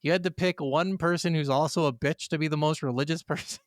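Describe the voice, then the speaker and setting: A man's voice: a little high, fairly even intonation, fast talker, dry recording, studio quality. A man co-hosting a gaming podcast, telling stories informally and swearing freely. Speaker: podcast co-host. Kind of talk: casual gaming podcast chat.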